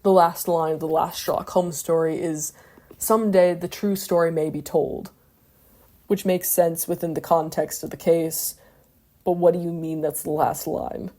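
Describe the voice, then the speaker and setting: A woman's voice: lowish voice, fairly even intonation, normal speed, mellow. A woman narrating a scripted video essay in a reflective, analytical way, with the odd casual aside.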